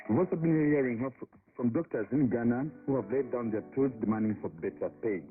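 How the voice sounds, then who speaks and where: A man's voice: mid-range voice, fast, faint music behind. A man hosting a radio news programme, reading scripted announcements and headlines over a shortwave broadcast. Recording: muffled narrow-band shortwave radio.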